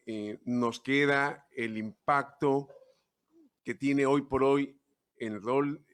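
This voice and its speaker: Male moderator, slow measured delivery, formal, hesitant. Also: mid-range voice, fairly bright tone. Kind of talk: moderating a panel.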